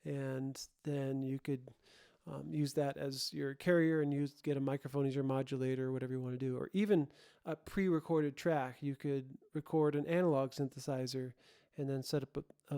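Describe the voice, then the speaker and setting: A man's voice: mid-range voice, fairly even intonation, normal speed, dark tone, clear, resonant tone, no echo, studio quality. A man presenting an informal tutorial, thinking aloud and often correcting himself as he explains. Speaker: host, audio production tutorial presenter.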